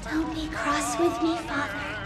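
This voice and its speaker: A woman's voice: high voice, measured pace. A woman playing a cold, haughty vampire villain with theatrical disdain in a video game. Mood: cold contempt. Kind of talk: dramatic video game acting. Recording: loud music over the voice.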